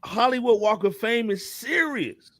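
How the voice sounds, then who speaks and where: A man's voice: very high voice, expressive, unhurried, fairly bright tone, no echo. A man in his forties with an American accent, hosting a casual hip hop panel talk in slangy, emphatic style with repeated phrases. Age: 40s.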